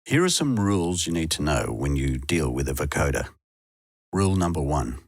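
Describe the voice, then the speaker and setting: A man's voice: rumbling low, sing-song, normal speed, no room sound. A man presenting a tutorial in scripted instructional narration, recorded as a close, dry voice-over. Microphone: close dry voice-over microphone.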